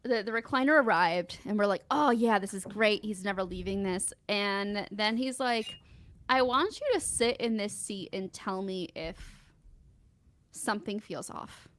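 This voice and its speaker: A woman guest in casual chat, telling animated stories and acting out what people said. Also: mid-range voice, measured pace. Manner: animated storyteller, acts out quotes. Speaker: podcast guest.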